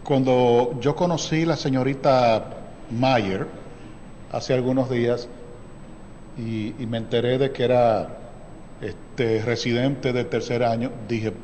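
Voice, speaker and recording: A man's voice: medium pitch, fairly even intonation, slow pace, a little mellow, big-room sound, slight background noise, poor audio. A man hosting a TV talk show, chatting casually with his guests and nodding along with short replies. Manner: conversational, frequent short affirmations. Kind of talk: casual TV interview.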